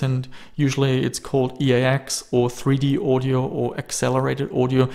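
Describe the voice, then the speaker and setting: A man's voice: mid-range voice, gravelly, a little mellow. A man giving an explanatory voice-over as a tech reviewer. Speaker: tech reviewer narrating his video.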